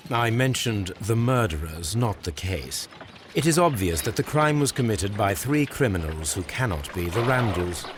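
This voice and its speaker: A British man playing a composed, authoritative Victorian detective in a video game, speaking in a measured, formal, deliberate way. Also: fairly low pitch, sing-song, background noise. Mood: cool, assured. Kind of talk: dramatic video game acting.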